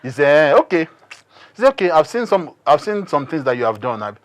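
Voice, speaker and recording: A man's voice: fairly high pitch, big pitch swings, a little fast. A man with a Nigerian accent talking casually as an interview guest, repeating phrases for emphasis. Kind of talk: casual conversation.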